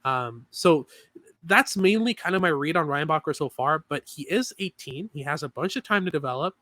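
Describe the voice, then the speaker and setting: A man co-hosting a sports podcast, talking through analysis in a quick, filler-heavy way with many restarts. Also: fairly high pitch, expressive, bright, forceful tone, no echo, clean studio sound. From Canada. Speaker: podcast co-host.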